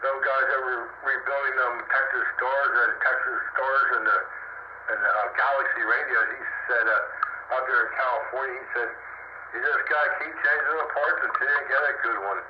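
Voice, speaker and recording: Through loud static and a hollow echo comes a man on CB radio. He talks casually and plainly, wandering along in a folksy way. The sound is dull and muffled throughout. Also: medium pitch, fairly even intonation, moderate pace. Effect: CB radio transmission. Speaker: CB radio operator. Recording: muffled, very noisy, echoing CB radio.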